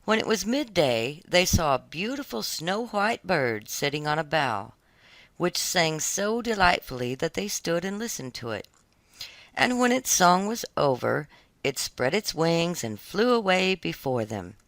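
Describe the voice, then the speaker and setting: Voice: mid-range voice, very animated, a little slow, a bit bright, no room sound. A narrator reading a scripted fairy tale aloud for children, in audiobook style.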